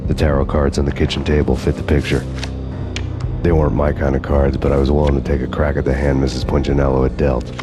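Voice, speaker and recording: A very low-voiced noir cop narrates a video game story. He is deadpan and brooding, worn down and out for revenge, with music behind him. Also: quick pace. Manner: deadpan, world-weary. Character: brooding hard-boiled noir cop narrator, weary and vengeful.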